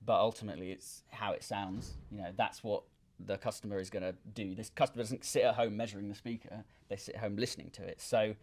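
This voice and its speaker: A man speaking as a product designer in an interview, explaining technical details informally with frequent hesitations and pauses. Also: on the low side, steady tone, a little mellow. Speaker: loudspeaker designer and company representative.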